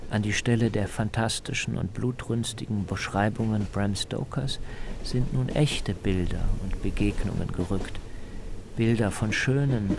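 A man's voice: fairly low pitch, vocal fry. A man reporting and narrating a scripted radio documentary in the first person.

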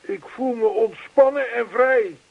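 A man's voice: extremely high pitch, unhurried, gravelly, full character voice. A man playing an earnest, chatty older neighbour in a radio play, persistent, reassuring and long-winded. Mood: elated. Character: earnest, chatty older male neighbour zealous about yoga. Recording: thin, phone-like old radio play recording.

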